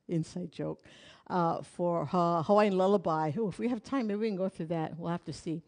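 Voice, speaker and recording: A woman's voice: fairly low pitch, normal speed, a little mellow. A middle-aged woman teaching hula in a small indoor room, counting beats and half-singing lyrics in a chatty, playful way while she dances. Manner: chatty and playful, jokes and asides. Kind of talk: dance instruction while dancing. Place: small indoor studio room with curtains and wooden floor.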